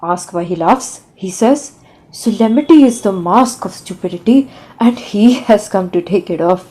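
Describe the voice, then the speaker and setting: An exasperated overseer played by a woman in an audio drama, with a warm, dark voice at an average pitch. The manner is deferential, like an underling telling a long tale to the boss. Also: animated, moderate pace, lightly acted, rough recording. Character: exasperated male overseer reporting to the governor. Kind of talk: audio play acting.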